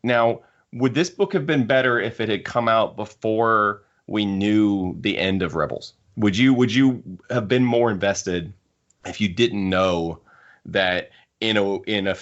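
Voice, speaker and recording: A man's voice: lowish voice, moderate pace, a bit bright. A man hosting a podcast, casually discussing a book and thinking aloud as he rambles. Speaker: podcast host. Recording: dull lo-fi podcast audio.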